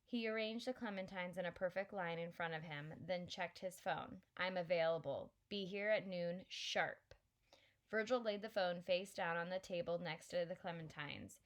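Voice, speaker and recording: A woman narrating a children's novel aloud in a clear, steady storytelling voice. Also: on the low side, normal speed, few pauses. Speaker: audiobook reader.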